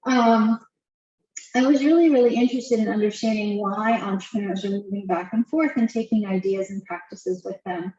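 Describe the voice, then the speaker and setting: A middle-aged woman from Turkey who works as a professor, giving a measured academic talk in a webinar with frequent "um" fillers. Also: medium pitch, steady tone, dark tone, breathy edge, recorded from a distance, muffled recording.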